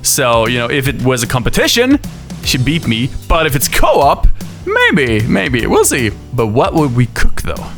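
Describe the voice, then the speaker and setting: A man answering viewer questions in a casual chat, lively and often laughing, with many fillers. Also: medium pitch, a little slow, faint music behind. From Sweden. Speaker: YouTuber and musician.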